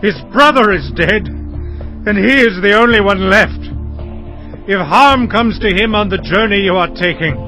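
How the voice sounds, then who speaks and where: An actor playing a grieving, aggrieved old father in a dramatized audio Bible, pleading forcefully and sorrowfully. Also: high-pitched, unhurried. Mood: anguished. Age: older. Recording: music behind the voice.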